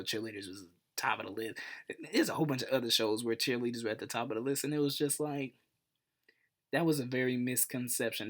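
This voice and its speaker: A man telling a personal story casually as a vlog host, in an informal, animated way with self-deprecating humor. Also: mid-range voice. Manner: animated retelling, acts out dialogue. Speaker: vlogger, channel host.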